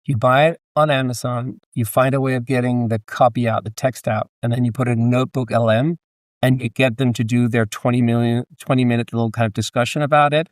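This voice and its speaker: A man with a Danish accent chats as a guest on a podcast. His mid-range voice moves haltingly through casual, hedged explanations. The sound is dry, with little room around it. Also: mid-range voice, fairly even intonation, dry recording. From Denmark. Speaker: podcast guest, entrepreneur and author. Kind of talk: casual interview chat.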